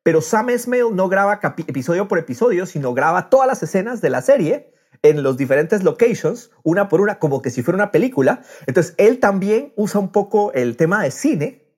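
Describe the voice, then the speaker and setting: Un hombre que conduce un podcast de charla informal, contando las cosas con animación y entusiasmo. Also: male voice, sits high, very animated, brisk, a little mellow, slightly rough. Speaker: podcast host. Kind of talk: casual podcast interview.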